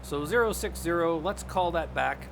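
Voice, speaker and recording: A man's voice: on the high side, moderate pace, gravelly, a bit bright, slight background noise. A man giving a casual, informal live stream tutorial, explaining things step by step to his viewers. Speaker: live streamer, flight simulator host.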